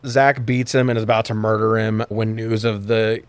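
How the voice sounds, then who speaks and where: A man's voice: fairly low pitch, little pitch movement, normal speed, clear, resonant tone, no echo. Casual, irreverent man podcasting, dry close sound. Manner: jokey, irreverent, profane. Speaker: podcast co-host reviewing movies. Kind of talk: casual chat, recapping a film plot.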